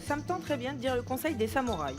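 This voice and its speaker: A woman's voice: sits high, sing-song, quick pace. A woman in her thirties, a quiz show contestant chatting casually with the host. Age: 30s. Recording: TV studio with music behind. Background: show music.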